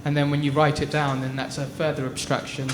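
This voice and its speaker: A man's voice: on the high side, monotone, a little fast. A man, an artist, talking at a public panel, hesitant with many stumbling restarts. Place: art gallery talk before an audience. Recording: echoey gallery with background noise.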